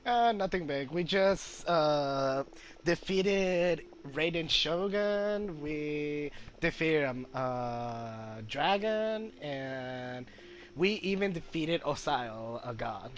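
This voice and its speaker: A man's voice: on the high side, animated, drawn-out words, a little hiss or hum. A man giving casual gameplay commentary, rambling hesitantly and sounding amused. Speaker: gamer, video host.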